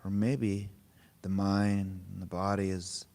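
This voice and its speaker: A man leading a guided meditation, speaking in short, unhurried, gently informal phrases with pauses between them. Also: sits low, flat delivery. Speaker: meditation teacher. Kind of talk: guided meditation, spoken softly to a group.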